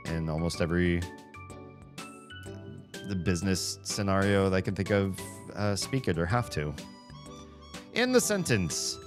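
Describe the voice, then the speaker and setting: A man from the Southern United States with a Southern accent, chatting casually on a livestream while gaming, rambling and wry. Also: low-pitched. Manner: rambling, self-interrupting, wry. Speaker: streamer. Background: background music.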